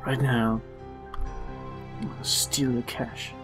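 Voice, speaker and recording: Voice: medium pitch, fairly even intonation, takes it slowly, music in the background. A let's player giving playful game commentary in a goofy, sarcastic, theatrical way.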